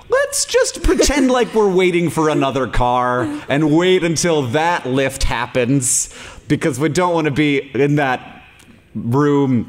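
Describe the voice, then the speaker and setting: A man in his late thirties with an American accent, hosting a live podcast in front of an audience, telling stories with self-deprecating humour and frequent laughs. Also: medium pitch. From United States. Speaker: podcast host. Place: stage before a live audience.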